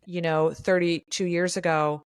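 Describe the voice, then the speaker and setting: A woman in her fifties, a triathlon coach co-hosting a podcast, speaking in a measured, matter-of-fact way. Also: fairly low pitch, flat delivery, clear, resonant tone, no room sound, clean studio sound. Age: 50s. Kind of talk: casual podcast conversation.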